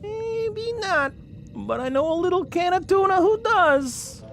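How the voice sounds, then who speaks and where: A woman plays a scrappy robot rat in a radio drama, pitched high and swinging between jabs. He is cocky and slangy, always mocking, with faint music underneath. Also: animated, slow, exaggerated voice. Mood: cocky, needling. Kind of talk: fan radio drama acting.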